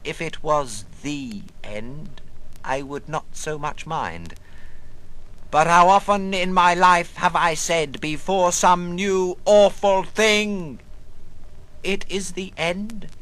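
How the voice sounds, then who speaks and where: A halting, half-mad old man. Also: high-pitched, a bit bright, rough recording. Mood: weary, resigned. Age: older. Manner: halting, broken phrases with sudden outbursts. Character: old, half-mad, rambling, bitter but harmless wanderer. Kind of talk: dramatic literary monologue reading.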